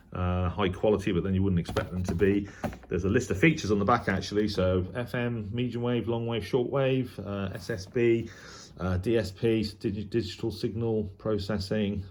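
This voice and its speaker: Low-voiced British man, rambling and hesitant. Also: a little hiss or hum. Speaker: radio hobbyist vlogger. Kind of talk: casual unboxing and review talk.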